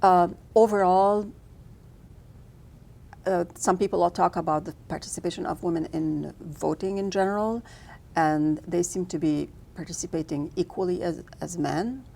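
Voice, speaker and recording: A woman's voice: lowish voice, fairly even intonation, moderate pace, gravelly, dark tone. A woman from Lebanon, a filmmaker being interviewed, answering thoughtfully with frequent restarts and fillers. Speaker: filmmaker guest.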